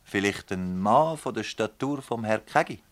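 A man's voice: on the low side, lively intonation, normal speed, close to an everyday voice. A man playing a stern, methodical public prosecutor in a radio drama, with a Swiss German accent and a formal, probing, commanding manner. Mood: measured, insistent. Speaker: actor. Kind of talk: radio drama acting in dialect.

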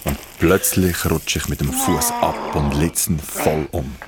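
A man's voice: a bass voice, fairly even intonation, takes it slowly. An actor playing a young male hiker, narrating in colloquial first person for a radio drama. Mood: reflective, plain. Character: young male hiker recounting his mishap.

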